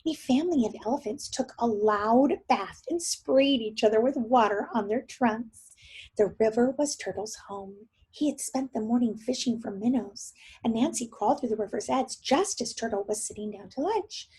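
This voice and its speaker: Woman teacher, expressive children's story reading, thinks aloud, higher-pitched, very breathy and husky. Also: moderate pace, rough recording. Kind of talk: read-aloud story for young children with questions.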